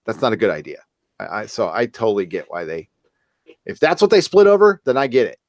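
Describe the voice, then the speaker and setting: A man co-hosting a casual discussion, rambling and halting as he goes. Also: lowish voice, huge pitch swings, dry recording, clean studio sound. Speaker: co-host. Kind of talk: casual chat, reading viewer comments.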